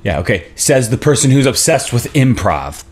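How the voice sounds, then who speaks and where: A man's voice: medium pitch, very animated, moderate pace, husky. A man in his thirties acting a laid-back, wry but defensive boyfriend in a naturalistic table read on a video call.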